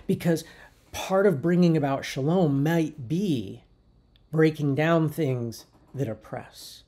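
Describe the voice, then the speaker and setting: Mellow, husky-voiced man preaching. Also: mid-range voice. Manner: thoughtful, unhurried preaching with frequent restarts. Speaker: pastor. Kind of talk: sermon. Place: church sanctuary.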